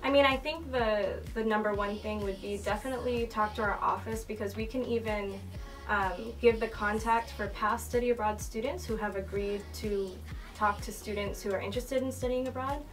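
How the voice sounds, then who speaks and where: A woman's voice: medium pitch, fairly even intonation, normal speed. Young woman explaining, distant mic. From Massachusetts, USA. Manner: earnest, explanatory, occasional fillers. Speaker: study abroad office manager. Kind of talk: documentary interview. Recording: distant mic with music underneath.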